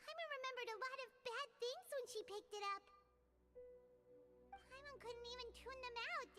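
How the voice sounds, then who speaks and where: A woman voicing a small, excitable, greedy and easily spooked fairy companion in a childlike, squeaky voice for a video game. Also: moderate pace, faint music behind. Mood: uneasy. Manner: squeaky, refers to herself in third person. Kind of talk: video game dialogue acting.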